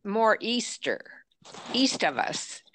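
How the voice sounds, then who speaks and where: A woman class participant speaking over a video call, halting and searching for her words. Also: mid-range voice, big pitch swings, fairly bright tone, slight background noise, poor audio.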